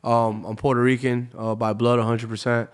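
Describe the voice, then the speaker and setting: A man's voice: medium pitch, little pitch movement, normal speed, clean tone. A man from Tampa, a DJ, telling his story in a laid-back, casual way as a podcast guest, often saying "you know". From Tampa, Florida.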